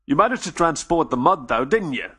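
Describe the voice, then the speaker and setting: Male reader playing a cheeky, easygoing furry creature, slightly raised pitch. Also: sing-song, fairly quick, sharp tone, breathy edge, exaggerated voice. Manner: casual, informal.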